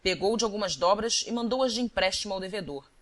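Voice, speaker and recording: A woman reading scripted literary narration as an audiobook narrator, with a measured, deliberate storytelling delivery. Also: medium pitch, animated, a bit bright.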